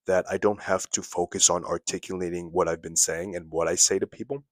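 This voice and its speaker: Deep-voiced young man giving advice. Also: no echo. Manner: casual, instructive, repeats phrases for emphasis. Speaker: self-improvement vlogger. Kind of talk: advice talk to camera.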